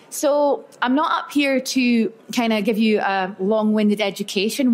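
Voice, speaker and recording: A woman giving a chatty, upbeat workshop talk to a live audience at an event. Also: mid-range voice. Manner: chatty and upbeat, storytelling with lots of "so" and "yeah". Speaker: speaker at a live expo talk.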